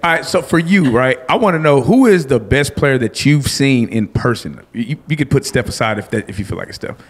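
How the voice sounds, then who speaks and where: A man from Nigeria co-hosting a casual interview, excitable and slangy, laughing as he talks. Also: medium pitch, fairly quick. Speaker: interview co-host.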